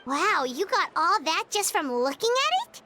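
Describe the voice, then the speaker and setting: A woman's voice: extremely high pitch, sing-song, normal speed. A woman voicing a small, childlike, perky and nosy floating fairy companion in a video game. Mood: impressed, eager. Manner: exclamatory, curious. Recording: music under the voice.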